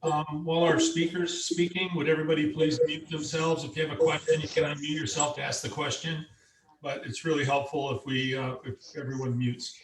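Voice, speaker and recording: Man, flat delivery, distant and echoey. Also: on the high side, fairly quick. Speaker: meeting member giving a reminder.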